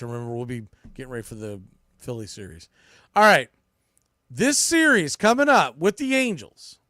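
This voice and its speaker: A man's voice: medium pitch, a bit bright, clear, resonant tone, dry recording. Conversational male baseball podcaster, close dry sound. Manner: conversational, thinking aloud with self-corrections. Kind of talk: sports preview commentary, off the cuff.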